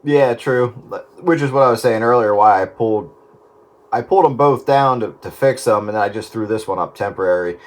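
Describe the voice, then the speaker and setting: A man's voice: fairly low pitch, brisk. A man chatting casually over two-way radio as a hobbyist. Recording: two-way radio with faint noise.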